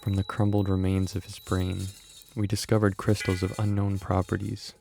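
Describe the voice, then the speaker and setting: A man playing a brooding, obsessive doctor in a horror manga dub, speaking in a grave, detached, gravelly way. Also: deep voice, monotone, moderate pace, a little mellow, soft background music. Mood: somber. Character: brooding, obsessive male doctor, coldly rationalizing human experiments.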